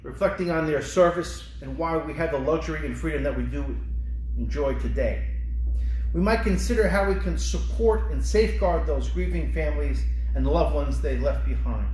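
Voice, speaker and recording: Higher-voiced American man in an echoey room. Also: a little mellow. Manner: solemn, earnest, formal. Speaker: librarian presenting a holiday reading. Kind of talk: prepared commemorative speech, read aloud. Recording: echoey room with faint background noise.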